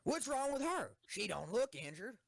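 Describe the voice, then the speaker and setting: A woman's voice: a little high, very animated, moderate pace, vocal fry, no echo, studio quality, heavily acted. A woman acting a ruthless, hot-tempered young female tank commander in an audio drama, commanding and intense. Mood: scornful anger.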